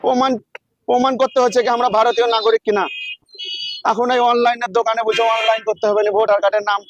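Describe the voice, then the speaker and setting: Exasperated rural West Bengal villager played by a man, high but flat-toned, lamenting in a comic skit. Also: fast talker, muffled recording. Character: exasperated villager struck off the voter roll. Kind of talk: comic social skit acting. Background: faint music.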